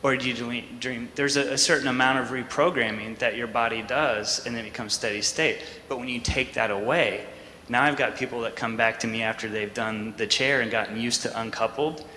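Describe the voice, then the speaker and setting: A man's voice: mid-range voice, steady tone, a little fast, pushed and bright. A man on a conference panel answering questions, explaining with everyday examples and many "like"s. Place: large conference hall. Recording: echoey hall with faint noise.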